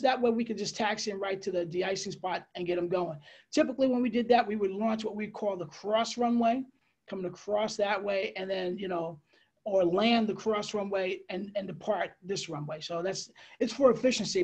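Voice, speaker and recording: Middle-aged American man, halting informal presenter. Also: high-pitched, darkish tone, slightly rough. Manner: conversational, halting with pauses and asides. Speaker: air traffic controller giving a talk. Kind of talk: informal slide presentation, explanatory. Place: indoors, on a video call.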